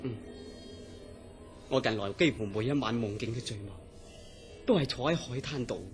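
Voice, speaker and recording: A man's voice: mid-range voice, moderate pace, faint music behind, close to an everyday voice. A man acting a troubled, obsessed dreamer in a horror radio drama, speaking Hong Kong Cantonese in an earnest, dramatic way. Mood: brooding, wistful.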